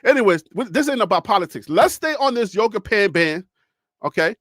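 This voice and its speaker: A man from Liberia, hosting a live call-in show in a preachy, animated way and reading the chat. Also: sits high, a little fast, no echo, clean studio sound. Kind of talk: casual livestream talk.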